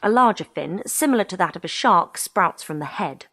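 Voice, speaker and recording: A woman reads aloud in a somewhat low voice. Her delivery is that of someone going through a reference text within an audio drama. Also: expressive, moderate pace, a bit bright. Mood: absorbed.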